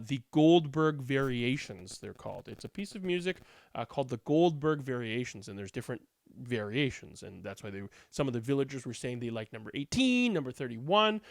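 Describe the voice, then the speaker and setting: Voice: mid-range voice, a bit bright, no echo, studio quality. A reader giving a theatrical, emphatic read-aloud of a storybook. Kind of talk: animated read-aloud narration.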